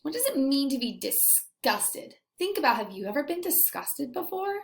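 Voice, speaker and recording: A woman teacher giving an expressive read-aloud lesson, asking young children questions and pausing for answers. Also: high-pitched, gravelly. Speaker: elementary school teacher. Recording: echoey room.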